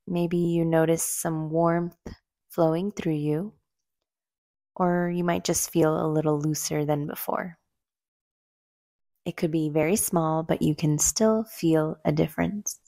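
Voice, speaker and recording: A woman leading a guided meditation in a gentle, soothing, unhurried way, leaving long pauses between short phrases. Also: sits low, steady tone, no echo, clean studio sound. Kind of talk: slow guided meditation narration.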